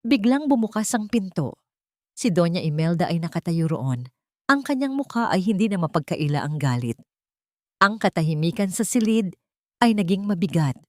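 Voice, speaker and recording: A woman narrating a scripted dramatic audiobook with solemn, measured and deliberate phrasing. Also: lowish voice, very animated, darkish tone, no echo, clean studio sound.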